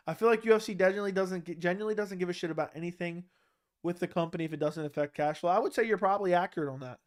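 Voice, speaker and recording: Guy hosting a chill livestream, kinda high voice, sore throat, reads chat questions and shoots back blunt answers.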